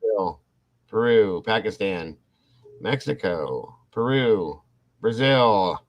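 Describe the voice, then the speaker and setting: A man's voice: fairly low pitch, animated, takes it slowly. A man hosting a casual livestream, rambling and restarting his sentences as he talks to his viewers. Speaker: livestream host. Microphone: computer mic on a livestream.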